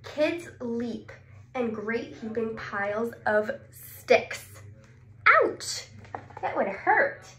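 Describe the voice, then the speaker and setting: A woman in her twenties reading a children's picture book aloud expressively, asking questions and answering them. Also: a little high. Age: 20s. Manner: expressive, exclaiming, asks questions and pauses before answering. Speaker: speech therapist. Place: small indoor clinic room. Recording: roomy echo, clear background noise.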